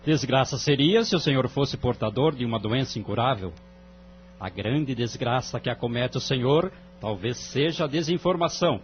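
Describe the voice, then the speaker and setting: Man playing a measured, moralizing Brazilian judge. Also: mid-range voice, animated, slight background noise, close to an everyday voice. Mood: calm, explaining. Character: calm, wise male family-court judge counselling a couple. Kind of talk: audio drama acting. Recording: dull lo-fi audio, music underneath.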